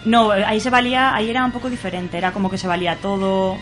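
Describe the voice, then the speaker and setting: A woman's voice: mid-range voice, level tone, quick pace, fairly bright tone, soft background music. A woman with a Spanish accent from Spain chatting informally as an interview guest, with salty, everyday phrasing. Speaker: interview guest, roller derby player. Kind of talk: casual chat.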